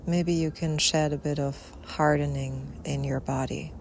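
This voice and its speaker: A woman softly guiding a meditation without hurry, with long pauses and breath at the ends of her phrases. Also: low-pitched, steady tone, gravelly. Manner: soft, unhurried, with long pauses. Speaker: meditation guide. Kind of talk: guided Yoga Nidra meditation. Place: outdoors in nature. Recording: outdoor recording with faint ambient noise. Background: faint natural ambience.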